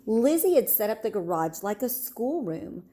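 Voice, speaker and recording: A woman narrating a children's storybook in an expressive, storytelling manner. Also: a little high, moderate pace.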